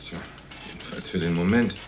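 An actor playing a weary, gruff veteran male detective in a German radio play, laconic and matter-of-fact. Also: low-pitched, brisk, slight background noise, close to an everyday voice. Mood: resigned, dry.

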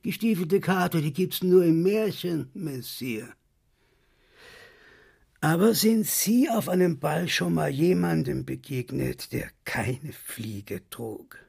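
An actor playing a vain, sulky trickster tomcat in a radio play, with a husky, creaky voice and pompous, wordy delivery. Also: male voice, fairly high pitch, lively intonation, slow pace, darkish tone, full character voice. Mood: offended, pompous. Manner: pompous, wordy, mock-dignified.

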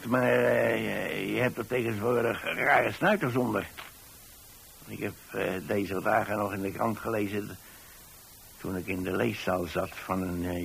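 A man's voice: mid-range voice, gravelly, breathy edge, rough recording. An actor playing a mild, rambling old widowed pensioner with a Dutch accent, hesitantly telling his story in a radio play. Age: 60s or older.

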